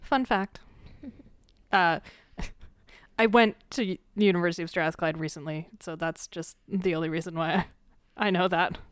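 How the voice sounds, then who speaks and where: A woman's voice: lowish voice, normal speed, clear, resonant tone, no echo. Playful, chatty woman podcast co-host. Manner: playful and chatty, leads the case narration. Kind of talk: casual true-crime chat.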